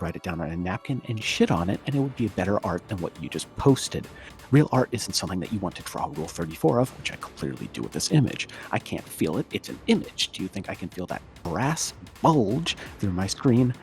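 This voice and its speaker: A man acts out a pompous, scornful internet commenter in a comedic dramatic reading. His voice sits fairly low but swings widely with theatrical outrage. He speaks in overwrought, condescending declarations over faint music. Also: big pitch swings, fairly quick, vocal fry, full character voice. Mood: scornful, grandiose.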